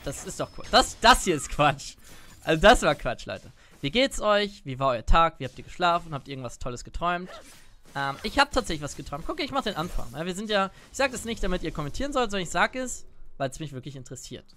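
High-pitched young man, chatty gamer. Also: very animated, fast, sharp tone, a little hiss or hum. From born Italian. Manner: rambling, chatty, addressing viewers directly. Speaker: gaming streamer. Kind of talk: casual chatty gameplay commentary. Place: indoor room at a gaming setup. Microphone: close desk microphone, wearing a headset.

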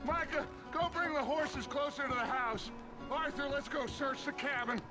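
A high-voiced outlaw gang leader from a Western video game. He is grandly polite and quick to command. Loud music plays behind him. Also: poor audio. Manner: theatrically polite, then barking orders. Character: commanding, smooth-talking male outlaw gang leader of the Old West. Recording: music and horse sounds behind.

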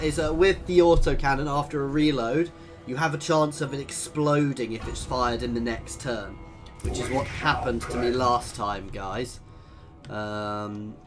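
A man in his thirties commentating a strategy game, husky and tired from a cold, chatty and thinking aloud with lots of ums. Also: mid-range voice. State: hoarse with a cold. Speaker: gaming video host. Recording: voice over game music.

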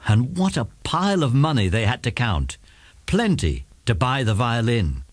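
Male narrator, children's audiobook, highly animated intonation, husky tone, expressive. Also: normal speed, no echo. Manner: expressive storytelling. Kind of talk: scripted children's story narration.

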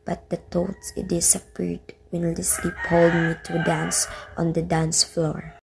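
A woman's voice: lowish voice, completely flat delivery, measured pace, creaky, noisy background. A woman playing a troubled, defiant teenage daughter who tells her story in an amateur radio drama. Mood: reflective narrating.

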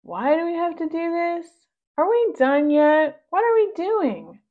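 A woman's voice: extremely high pitch, sing-song, unhurried, narrow, tinny audio, voice pushed up, full character voice. A woman voicing whining, grumbling little pug swindlers from a children's storybook.

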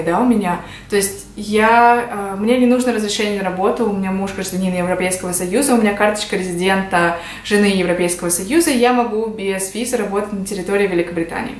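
Female vlogger, 20s, from Russia. Conversational to-camera explainer at mid pitch, with audible room echo. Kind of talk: casual explanatory vlog to camera. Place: small indoor room at home. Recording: echoey home room.

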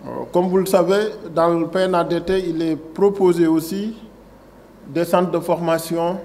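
A man's voice: high voice, level tone, normal speed, darkish tone, slight background noise. A man in his forties with a Senegalese French accent, speaking at a signing ceremony in a conference room. He moves from reading a prepared text to speaking off the cuff, with many fillers and restarts. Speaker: agency director giving a speech. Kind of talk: formal speech at a signing ceremony. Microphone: table microphone.

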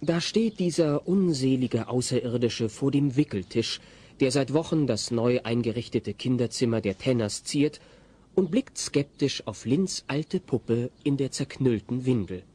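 A man playing the wry, ironic narrator of a family comedy audio play, reading scripted narration in a husky voice. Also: mid-range voice, expressive, unhurried, warm tone.

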